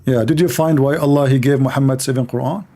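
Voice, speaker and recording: A man's voice: mid-range voice, fairly even intonation, quick pace, mellow. A man whose first language is Arabic, hosting a call-in show and pressing callers with repeated, insistent questions in non-native English. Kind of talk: heated call-in debate.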